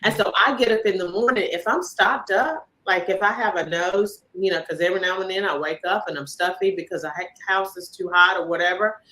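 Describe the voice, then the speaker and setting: A woman hosting a relaxed conversation, talking at a middle pitch. She gets animated and loops back on her phrases for emphasis. Her delivery is conversational and unscripted. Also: brisk, few pauses, poor audio. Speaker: podcast host. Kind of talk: casual interview chat.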